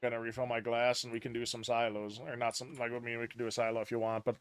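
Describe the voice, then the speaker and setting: A man's voice: medium pitch, little pitch movement, fast, clear, resonant tone, dry recording. Rambling man streamer on a headset. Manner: rambling, explains at length with many restarts. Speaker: game streamer. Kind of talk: casual gaming chat.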